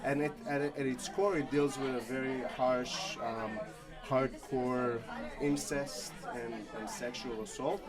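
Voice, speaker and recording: A man's voice: medium pitch, steady tone, slow. A man from Israel, a filmmaker being interviewed, talking in a hesitant way with many fillers and restarts. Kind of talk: casual interview chat. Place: large indoor hall at a film expo. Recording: noisy crowded hall. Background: loud crowd chatter.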